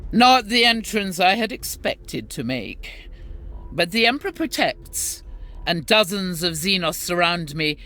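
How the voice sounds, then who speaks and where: A woman's voice: lowish voice, very animated, a little slow, bright, forceful tone, exaggerated voice. A woman voicing a stern, devout warrior nun commander with a dry, formal manner, in a game cutscene. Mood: sardonic. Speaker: sister superior.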